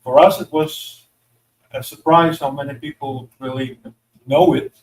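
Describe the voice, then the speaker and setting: A man's voice: mid-range voice, unhurried. Guy talking about his crepe menu, lots of uh's, sounds roomy and kinda far off. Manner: hesitant, many uh fillers while describing dishes. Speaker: restaurant owner. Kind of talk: casual chat.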